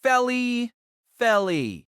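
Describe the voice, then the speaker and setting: A woman's voice: on the high side, very animated, drawn-out words, clean tone, dry recording, studio quality, exaggerated voice. A woman voicing a hesitant young man who stammers and apologizes, in an audio drama. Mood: flustered.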